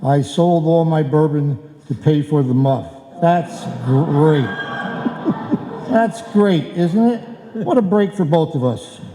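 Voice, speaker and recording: A man playing a blustering but sentimental husband in a live old-time radio comedy, with halting, emotional delivery. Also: a little high, dark tone. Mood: rueful. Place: indoor hall stage, live performance. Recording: echoey hall, live stage performance.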